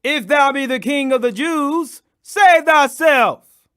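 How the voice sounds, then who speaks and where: A man voicing scornful rulers and soldiers in a scripture audiobook, taunting loudly with drawn-out words. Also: very high voice, lively intonation, pitched above the performer's own voice, heavily acted. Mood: mocking. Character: mocking rulers and soldiers, jeering, scornful men.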